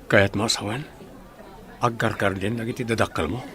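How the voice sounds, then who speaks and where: A man's voice: on the low side, fairly even intonation, normal speed, pushed and bright, a faint crowd. An actor playing a firm, inquisitive lawman in an audio drama.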